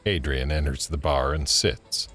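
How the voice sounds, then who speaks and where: Male narrator, scripted audio drama scene directions, extremely low pitch, soft music behind. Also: fairly even intonation, a little slow, full character voice. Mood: measured.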